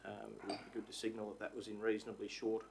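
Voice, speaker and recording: Halting official giving advice. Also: male voice, lowish voice, monotone, vocal fry, slight background noise, low-quality recording. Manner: halting, many ums and restarts. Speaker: council CEO giving advice. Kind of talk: formal procedural advice. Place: council chamber.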